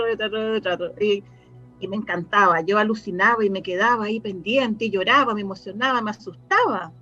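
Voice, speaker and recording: A Chilean woman speaks as a guest in a casual, reminiscing conversation, often pausing to find her words. Her voice sits on the high side, and the sound is dull and lo-fi over faint music. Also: fairly bright tone. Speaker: interview guest, radio drama writer and director.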